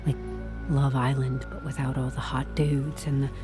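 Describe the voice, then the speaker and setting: An actress playing a nervy, sarcastic young-to-the-job HR employee held captive, flustered and wisecracking, in a comedic audio drama. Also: female voice, a bass voice, little pitch movement, normal speed, loud music behind the voice. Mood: uneasy.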